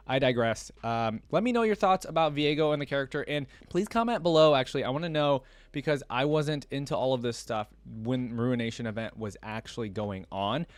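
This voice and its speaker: A man's voice: medium pitch, no echo. Man chatting casually in a dry recording. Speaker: reaction video host. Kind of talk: casual unscripted commentary to viewers.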